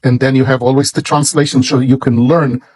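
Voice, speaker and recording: A man with a Hebrew accent, a native Hebrew speaker, presenting and explaining in English with deliberate, halting phrasing in short pieces and a husky voice. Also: mid-range voice, little pitch movement, darkish tone, slight background noise. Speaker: presenter showing a book. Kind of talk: slow explanatory product presentation with read-out verses.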